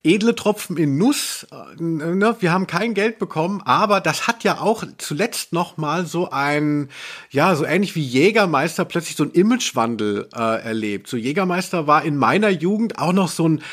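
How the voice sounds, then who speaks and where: A man's voice: on the high side, lively intonation, moderate pace, a bit bright. A man hosting a podcast in casual, colloquial chat, rambling along and dropping in English slang. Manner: rambling, colloquial, anglicism-sprinkled. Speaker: podcast host.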